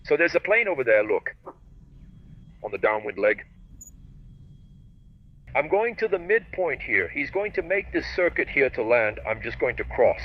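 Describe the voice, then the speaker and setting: A man's voice: mid-range voice, sing-song, normal speed, long pauses, dull audio. A helicopter pilot talking over his headset. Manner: explains to viewers, reads crisp radio phraseology. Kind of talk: casual cockpit commentary with radio calls. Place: helicopter cockpit in flight.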